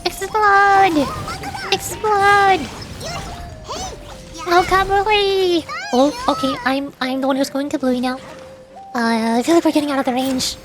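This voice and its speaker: A woman livestreaming a game with casual, excitable, playful chatter. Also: high voice, a little slow, pauses often. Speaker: livestreamer, VTuber. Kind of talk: casual gameplay stream chat.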